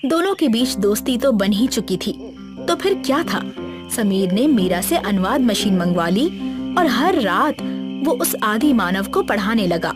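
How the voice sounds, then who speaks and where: A woman's voice: fairly high pitch, lively intonation, unhurried. A woman reading a scripted, dramatic story as its narrator. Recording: music bed behind the voice.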